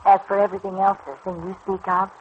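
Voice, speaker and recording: Actress as a calm, sensible confidante, muffled radio. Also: female voice, medium pitch, lightly acted. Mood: sincere, advising. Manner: measured, reassuring, gently advising. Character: calm, kindly, sensible older confidante woman. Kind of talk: 1940s-50s radio serial acting. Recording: dull, noisy old radio recording.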